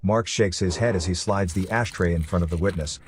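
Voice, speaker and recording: Low-voiced male story narrator. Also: brisk, a little hiss or hum. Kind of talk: scripted dramatic story narration. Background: faint music.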